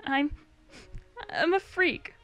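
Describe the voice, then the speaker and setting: A woman plays an anxious young female character in a fantasy audio story. The voice is high and halting, full of feeling, with music underneath. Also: very animated, bright, forceful tone, full character voice. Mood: distressed. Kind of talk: dramatized fantasy story reading.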